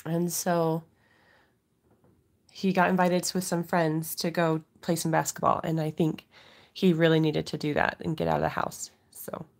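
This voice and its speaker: A woman's voice: low voice, flat delivery, unhurried, gravelly. Stuffy-voiced woman with a cold. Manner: apologetic, chatty. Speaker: crafting livestream host. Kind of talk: casual live unboxing chat. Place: home craft desk.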